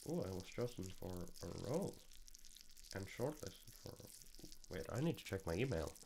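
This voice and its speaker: A man streaming casually, excitable and scattered, half muttering to himself as he goes. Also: sits low, normal speed, gravelly, slight background noise, rough recording. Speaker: streamer and amateur voice actor. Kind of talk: casual live-stream chat.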